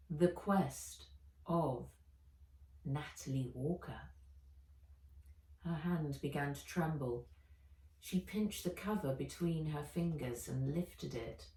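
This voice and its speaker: A woman narrating a children's adventure book aloud in an expressive, dramatic and deliberate way. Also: on the low side. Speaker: author reading own book aloud. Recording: echoey room, distant microphone.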